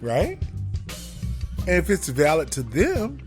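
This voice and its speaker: A talkative male co-host with a lively, swinging pitch, chatting with his partner on a radio show. He lectures in easy asides while faint music plays behind. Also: slow. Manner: talkative, animated, preachy asides. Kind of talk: casual couple chat.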